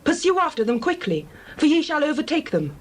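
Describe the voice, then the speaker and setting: A woman's voice: high voice, big pitch swings, fairly quick. A woman playing a persuasive woman of ancient Jericho, earnest and dramatic in a dramatized Bible. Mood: urgent, persuasive.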